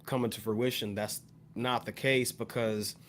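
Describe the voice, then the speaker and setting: An American man talking as a podcast panelist, explaining his view on music in a casual, measured way. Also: fairly low pitch, flat delivery, fairly bright tone, a little hiss or hum. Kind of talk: casual discussion, music commentary.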